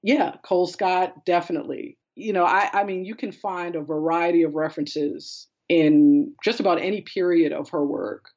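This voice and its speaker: A woman's voice: on the low side, normal speed, few pauses, darkish tone, dull audio. A woman speaking as a curator in an interview, explaining in a discursive, conversational way. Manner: discursive, explanatory, quotes others in conversational paraphrase. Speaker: art curator being interviewed.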